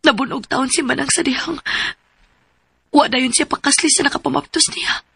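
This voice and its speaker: An actress playing an anxious, distrustful young woman in a Filipino radio drama, with a Cebuano accent and a husky, creaky voice. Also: high voice, moderate pace, a bit bright. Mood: brooding, suspicious. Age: young adult. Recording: music bed under the voice.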